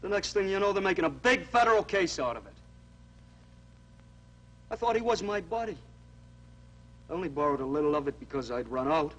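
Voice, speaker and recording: A sulky young corporal pleads his case in an aggrieved, self-justifying way. His voice is husky and sits somewhat high. Old educational-film acting. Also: lively intonation, fairly quick, long pauses, a bit bright, rough recording. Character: sulky young male soldier, self-pitying shirker. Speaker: army corporal.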